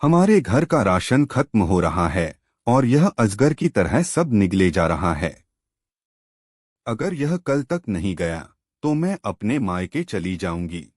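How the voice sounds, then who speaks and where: A fed-up wife in a comic folk tale, played by a male narrator, scolding and complaining. The delivery is slow and expressive. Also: fairly low pitch, dry recording, studio quality, full character voice. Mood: angry, fed up.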